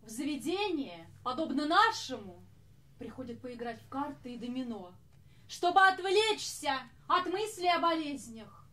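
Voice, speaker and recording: A woman's voice: high voice, big pitch swings, fairly bright tone, exaggerated voice. An actress playing a haughty, strict lady café orchestra leader on stage, speaking in an imperious, theatrical manner. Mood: lecturing. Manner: imperious, theatrical, measured enunciation.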